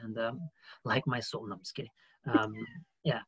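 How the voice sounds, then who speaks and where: A man in his thirties from Brazil chats casually on a video call. His voice sits at a middle pitch, with very little room sound around it. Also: flat delivery, normal speed, breathy edge, no room sound, poor audio. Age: 30s. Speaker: theatre artistic director and guest. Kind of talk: casual chat. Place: room at home.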